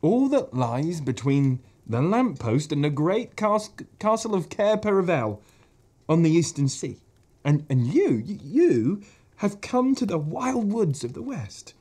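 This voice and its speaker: A man's voice: fairly high pitch, big pitch swings, a little slow, darkish tone, breathy edge, pitched above the performer's own voice, full character voice. A man doing a nervous stammering faun. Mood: courteous. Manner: stammers and repeats words anxiously. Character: timid, stammering male faun, polite and nervous.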